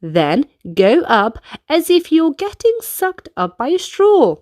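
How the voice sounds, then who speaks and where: A woman's voice: sits high, wildly swooping pitch, slow, a little mellow. A woman narrating a children's storybook and guiding young listeners through dance movements in an instructive manner. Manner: instructive, clearly paced delivery for young listeners.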